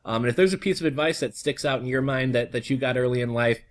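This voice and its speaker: A young man with a hoarse, worn voice reads an article to the camera in a steady, mid-pitched way. Between passages he drops into relaxed vlog chatter, with plenty of "uh" and "um" as he talks. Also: fast talker. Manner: reads steadily, then chats loosely with fillers. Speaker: vlogger. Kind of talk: reading an article aloud with casual asides.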